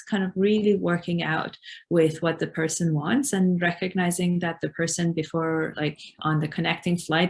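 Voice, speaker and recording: A woman's voice: deep voice, vocal fry. A woman whose first language is Farsi, co-presenting a webinar in an earnest, thoughtful way with many fillers. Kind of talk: webinar talk.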